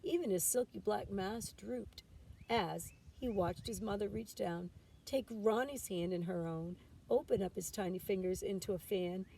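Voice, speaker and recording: A woman reads a picture book aloud in an expressive storytelling style. Her tone is mellow and her pitch sits in a middle range. She sounds slightly far from the microphone, with faint background noise. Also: measured pace, gravelly. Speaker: teacher reading a storybook aloud. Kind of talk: expressive children's storybook narration. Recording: distant mic with background noise.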